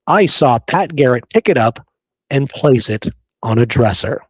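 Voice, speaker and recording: A man's voice: medium pitch, lively intonation, measured pace, breathy edge, no echo, poor audio. A man hosting a conversational history discussion, speaking in an emphatic, opinionated way and repeating points to drive them home. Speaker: podcast host explaining frontier history.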